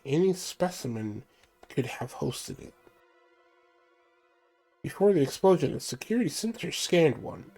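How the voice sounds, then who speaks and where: A man reading out a stern male ship computer giving mission orders, measured and plain, his voice stuffy from a cold. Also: mid-range voice, gravelly, dark tone, husky, heavily acted, low-quality recording. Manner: reads briefing text aloud, measured.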